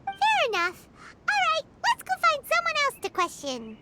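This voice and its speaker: A woman's voice: extremely high pitch, huge pitch swings, normal speed, noisy background, exaggerated voice. A woman voicing a tiny, chatty female fairy companion who talks about herself in the third person. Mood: chirpy. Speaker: guest commentator. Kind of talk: video game voice acting. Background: game music.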